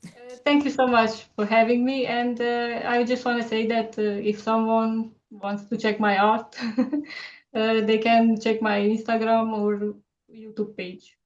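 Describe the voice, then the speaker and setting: A woman with a Romanian accent, a guest on a casual interview, speaking hesitantly with many fillers and quick laughs over a video call. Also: on the high side, little pitch movement, lo-fi sound. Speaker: guest artist.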